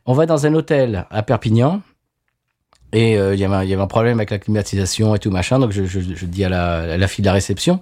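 A man from France co-hosting a casual podcast chat, telling stories in an animated way and acting out other people's lines. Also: lowish voice, fast. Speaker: podcast co-host. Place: lives in Louisiana.

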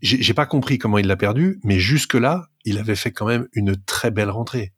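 A man's voice: lowish voice, a little fast, breathy edge, no echo, studio quality. A man co-hosting a sports podcast, talkative and emphatic as he gives his opinions in casual discussion. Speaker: rugby podcast co-host.

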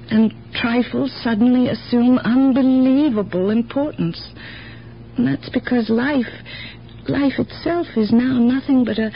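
A compassionate, wistful older aunt for a radio play. Her voice sits high and her delivery is earnest, halting and dramatic. The sound is muffled and noisy, with a faint hum from an old broadcast recording. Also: gravelly. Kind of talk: 1970s radio drama acting.

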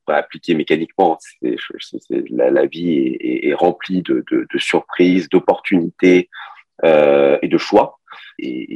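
A man's voice: a bass voice, creaky, darkish tone, dry recording, dull audio. A man in his forties, raised in Paris, speaking as a podcast guest in a hesitant manner with many fillers and restarts. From France (Paris). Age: 40s. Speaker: guest, company director. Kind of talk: relaxed interview conversation.

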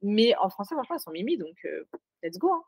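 A woman's voice: mid-range voice, very animated, fast, darkish tone, breathy edge, no room sound, studio quality. A woman co-hosting a casual book podcast, recorded remotely, talking in an emphatic, joking, slangy way. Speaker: podcast co-host. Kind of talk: casual chat.

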